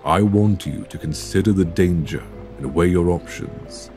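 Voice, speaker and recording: A man's voice: a little mellow, soft background music. A man playing a tormented, courtly young Victorian vampire in an ASMR roleplay, speaking tenderly and formally, close to a binaural microphone. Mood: resigned, tender. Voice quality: very deep, breathy, husky. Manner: formal, old-fashioned, measured phrasing. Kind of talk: ASMR roleplay audio drama acting.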